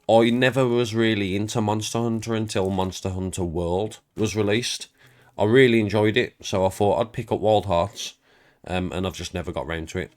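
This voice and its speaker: A man with a congested, stuffed-up voice, rambling casually and self-deprecatingly as he walks through his game collection for his channel. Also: lowish voice. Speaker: YouTube creator.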